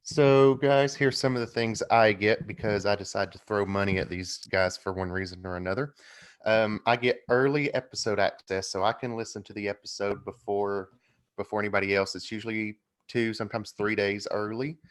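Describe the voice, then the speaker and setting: A man's voice: fairly low pitch, steady tone, normal speed. A man chatting casually as a guest co-host on a podcast. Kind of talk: casual chat.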